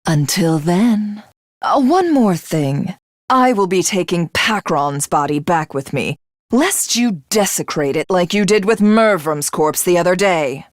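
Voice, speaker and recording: An actress playing a haughty, vengeful alien warlord in a video game, speaking in formal, mocking, theatrical lines. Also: female voice, on the low side, expressive, unhurried, no room sound, clean studio sound. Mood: bored, disdainful. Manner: imperious, mocking, formal archaic diction. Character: haughty, vengeful alien warlord, a self-styled living god. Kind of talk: theatrical game villain monologue.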